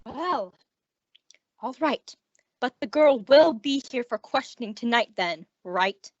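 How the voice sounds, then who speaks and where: Pushy cop guy , woman voicing him, slow high voice, dry muffled radio play sound. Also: animated, dry recording. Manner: blunt, pushy. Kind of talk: student radio drama acting. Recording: dry, dull lo-fi recording.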